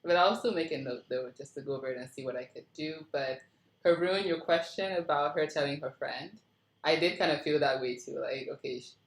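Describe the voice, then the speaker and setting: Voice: mid-range voice, fairly quick. A writer leading a casual script feedback discussion, apologetic and inquisitive, keen to clarify things. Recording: roomy, echoing room sound.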